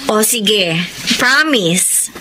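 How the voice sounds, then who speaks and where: A woman's voice: mid-range voice, animated, very slow, background noise. An actress playing a devoted young woman in love, teasing among friends, in a radio drama. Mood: playful, proud. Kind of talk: Tagalog radio drama acting.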